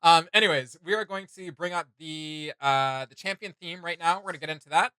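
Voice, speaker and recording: A man's voice: on the high side, fairly quick, bright, forceful tone, smooth, no echo, rough recording. A man in his thirties hosting a casual reaction chat, talking in an enthusiastic, slangy way. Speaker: host of a reaction channel. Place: small home studio room, indoors.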